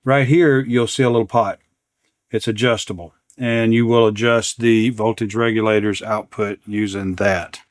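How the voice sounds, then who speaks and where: A man's voice: lowish voice, fairly even intonation, moderate pace, no echo, studio quality. A man hosting a repair demonstration, talking casually and unscripted, partly to himself as he works at a bench. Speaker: repair channel host. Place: indoors at an electronics workbench.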